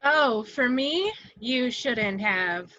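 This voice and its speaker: A woman's voice: on the high side, animated, very slow, sharp tone, dull audio, heavily acted. A woman acting a sultry, wicked and playful cat-burglar villainess with a teasing manner in an amateur script read. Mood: mocking, playful.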